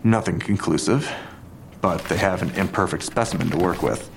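An actor playing a skeptical police detective in an audio drama, talking in a terse, dry, deliberate way. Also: male voice, low voice, vocal fry, background noise. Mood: musing.

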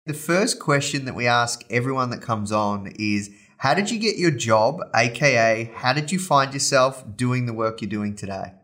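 A man's voice: mid-range voice, lively intonation, fairly bright tone. A man hosting a podcast, asking interview questions and often restarting them midway. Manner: halting, restarting his questions. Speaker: podcast host.

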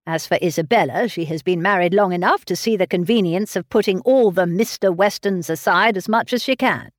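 A woman's voice: lowish voice, brisk, no echo, clean studio sound, natural voice. A woman voicing a pleasant, sensible grown man in a storybook reading.

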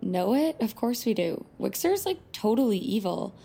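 Mean blunt high school girl, lots of creaky fry, scornful, dramatic, audio drama. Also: sing-song, normal speed, warm tone, faint music behind, heavily acted.

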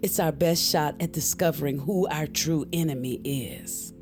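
An actress playing a secretive, intense female spellcaster in an audio drama, speaking in a deliberate, wry and ominous way. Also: deep voice, over music, lightly acted. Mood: grave, resolved.

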